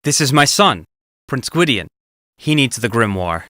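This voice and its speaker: A man's voice: medium pitch, very animated, a little slow, sharp tone, no room sound, studio quality. A man playing an imperious, crotchety old king in a fantasy audio drama. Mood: dismissive. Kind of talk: stage-style fantasy audio drama acting.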